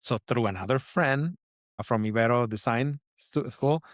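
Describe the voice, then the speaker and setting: A man's voice: medium pitch, unhurried, no room sound, clean studio sound. A man from Mexico with a heavy Mexican Spanish accent, Spanish his first language, telling a rambling story as a guest in a casual interview, with many fillers and restarts. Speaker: designer guest.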